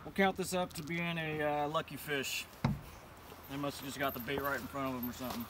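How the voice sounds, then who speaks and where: A young man with a slightly high voice talks through his fishing setup in a relaxed, plainspoken way. He is standing a few feet back from the camera by a river, and steady outdoor noise runs underneath him. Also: brisk, recorded from a distance. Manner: laid-back, plainspoken, slangy. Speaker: fishing vlogger. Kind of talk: casual how-to demonstration. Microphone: camera a few feet away.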